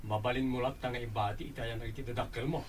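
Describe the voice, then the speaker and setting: A man's voice: mid-range voice, fairly even intonation, quick pace, a little hiss or hum, lightly acted. A man acting an easygoing, lovestruck young villager in an Ilocano comedy radio drama, casual and eager. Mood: bantering.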